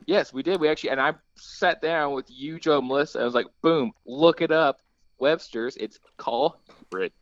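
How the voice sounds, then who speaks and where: A young man from Kentucky trades jokes in an easy, self-mocking podcast chat. His voice sits at an ordinary pitch and sounds close and dry. The audio is a little dull and muffled. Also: normal speed, no echo. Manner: self-deprecating, joking. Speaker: guest.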